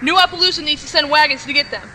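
A woman's voice: high-pitched, rapid-fire, bright, forceful tone, slight background noise, poor audio. A woman voicing an urgent, bossy young female pony adventurer, cross and insistent, in audiobook dialogue.

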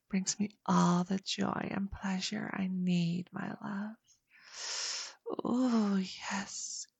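A woman speaking in a soft, coaxing whisper for an intimate audio roleplay, close to the mic. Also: medium pitch, creaky, husky, no room sound, muffled recording.

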